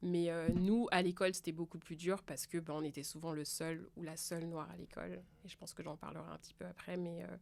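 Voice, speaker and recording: Woman, 30s, from france, gravelly, low voice, frank. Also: flat delivery, fast talker, few pauses. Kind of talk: casual round-table chat.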